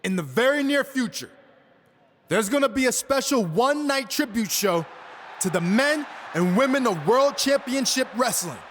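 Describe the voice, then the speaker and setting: A young male pro wrestler in a video game cutscene. His voice sits high as he delivers an earnest, defiant promo to the arena, with some ambient noise around him. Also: lively intonation, normal speed, fairly bright tone. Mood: proud, showy. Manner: addresses a crowd in announcement style.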